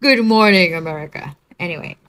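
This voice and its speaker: Casual young woman, lowish voice. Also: fairly quick, a bit bright. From Philippines. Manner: casual, chiding. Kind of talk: selfie video monologue. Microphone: phone at arm's length.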